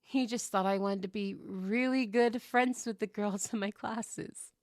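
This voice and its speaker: A woman acting an outgoing, cheerful young woman with a creaky edge to her voice. She chats and tells stories playfully in an audio roleplay, in a very dry recording. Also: medium pitch, fairly even intonation, unhurried, darkish tone, dry recording, close to an everyday voice. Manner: chatty, playful storytelling. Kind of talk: scripted ASMR roleplay acting.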